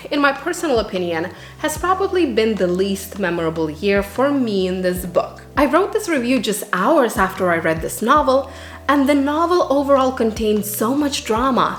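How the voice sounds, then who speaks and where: A woman narrating a scripted book review in an earnest way, with dramatic emphasis. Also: mid-range voice. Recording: roomy echo, music underneath. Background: background music.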